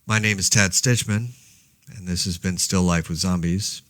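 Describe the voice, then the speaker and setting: Male actor as wry, hesitant survivor-podcaster, low voice, dry sound, deadpan casual monologue. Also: normal speed, no room sound. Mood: resigned, friendly. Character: laid-back, rambling man podcasting alone through a zombie outbreak. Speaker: podcast host. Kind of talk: casual monologue, fictional audio diary.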